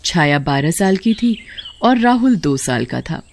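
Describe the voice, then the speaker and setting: A woman narrating a scripted horror story in a steady storytelling manner. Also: fairly low pitch, unhurried.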